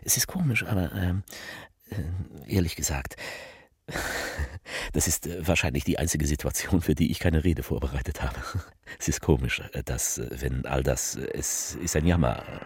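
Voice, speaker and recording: Deep, husky political speechmaker. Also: male voice, dry recording, full character voice. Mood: subdued, hesitant. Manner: rhetorical, halting, emphatic repetition. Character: impassioned, faltering political speechmaker addressing the people. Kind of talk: theatrical political oratory, radio collage.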